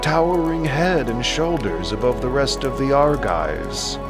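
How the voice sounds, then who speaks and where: A man's voice: mid-range voice, lively intonation, unhurried, music in the background, natural voice. An actor playing an aged, curious king who marvels aloud in a dramatic audio production. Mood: marveling, curious.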